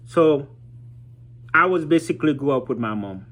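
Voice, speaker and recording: A man, telling a personal story informally as a vlogger, halting and reflective as he searches for words. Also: on the high side, lively intonation, a bit bright. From Haiti. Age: 30s.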